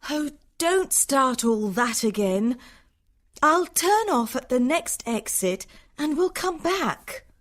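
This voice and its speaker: A woman's voice: high-pitched, sing-song, takes it slowly, full character voice. A woman playing a kind young woman with a cheerful, soothing nature in an acted audiobook. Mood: reproachful.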